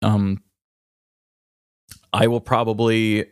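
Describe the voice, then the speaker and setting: Male podcast host, lower register, dry sound; informal, hesitant, openly emotional with grief. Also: level tone, very slow, darkish tone, clear, resonant tone, dry recording. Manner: hesitant, self-revealing, informal. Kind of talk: candid, unscripted casual chat.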